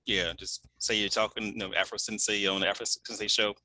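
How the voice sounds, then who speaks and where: A man hosting an interview, asking relaxed questions with many fillers and restarts. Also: fairly low pitch, fairly quick, a little hiss or hum, low-quality recording. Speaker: interview host. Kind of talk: casual interview.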